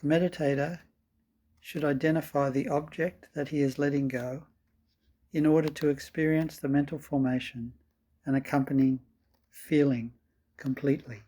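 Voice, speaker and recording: A man with a slightly husky voice guiding a meditation in calm, measured, deliberate phrases. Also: a little high. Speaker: meditation teacher. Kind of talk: slow guided meditation.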